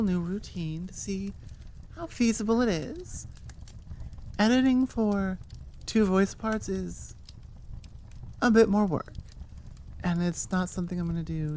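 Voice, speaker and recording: A woman's voice: medium pitch, a little mellow. A woman voice actor and channel host chatting casually and off the cuff to her listeners, rambling and enthusiastic. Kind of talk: casual unscripted chat to listeners.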